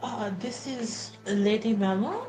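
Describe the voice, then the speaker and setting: A woman's voice: a little high, slow, dark tone, soft background music. A woman improvising casual, slangy in-character banter as a player in a tabletop role-playing game. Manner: slangy, informal.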